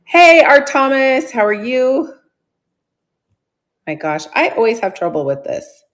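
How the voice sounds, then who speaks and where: A slightly hoarse woman hosting a live sale stream, chatting casually, greeting viewers by name and laughing often. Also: sits high, sing-song, slow pace.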